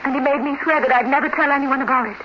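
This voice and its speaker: A woman acting an anxious wife in a 1950s radio drama, earnest and expressive in her delivery. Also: high voice, racing, lightly acted. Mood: concerned. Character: anxious young wife growing frightened of her husband. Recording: dull, noisy old radio recording.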